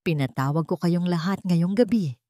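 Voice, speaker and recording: A woman's voice: fairly low pitch, dark tone, no echo, studio quality, lightly acted. Woman voicing a stern, commanding older patriarch. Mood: cold, commanding. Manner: measured, authoritative, solemn. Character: stern, wealthy older patriarch, coldly commanding father-in-law. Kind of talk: acted dialogue in a narrated story.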